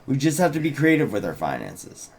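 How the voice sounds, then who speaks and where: A man's voice: mid-range voice, wildly swooping pitch, a little fast, vocal fry, darkish tone, slightly rough, heavily acted. A man reading the part of a supportive, hopeful teenage girl in a fantasy script, earnest and encouraging. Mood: reassuring.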